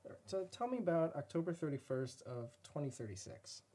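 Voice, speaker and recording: Woman playing a curious researcher in a radio play, really low voice, talks fast. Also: big pitch swings, warm tone, husky, heavily acted. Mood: inquisitive. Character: inquisitive researcher interviewing a disaster survivor. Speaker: interviewer. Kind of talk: radio drama interview.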